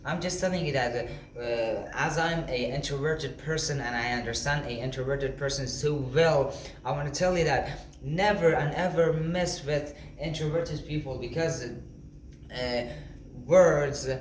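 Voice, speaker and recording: A man who speaks English as a second language gives an informal motivational talk in an earnest, halting, repetitive way. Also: fairly high pitch, fairly even intonation. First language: not English (second language). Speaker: motivational content creator. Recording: echoey room with faint background noise.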